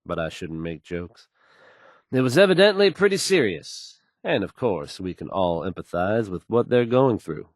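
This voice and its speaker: An actor playing a self-assured, smooth male radio DJ in a game, delivering news with polished, wry showmanship. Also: fairly low pitch, very animated, moderate pace, no echo, studio quality. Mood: grave, sympathetic. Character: confident, suave, upbeat male radio DJ.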